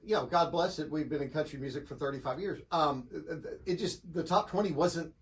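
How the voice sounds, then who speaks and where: A man's voice: a little high, fairly quick. A man in his fifties, a country radio host, explaining things in casual interview chat with dry humor. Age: 50s.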